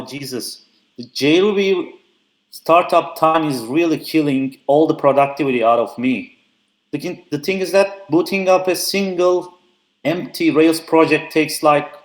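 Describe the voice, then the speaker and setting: Young man, relaxed chatty speaker, lots of filler words, echoey remote call audio. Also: fairly high pitch. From Turkey. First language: Turkish. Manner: frequent "uh" fillers, loose and chatty. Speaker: conference speaker. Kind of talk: casual technical talk.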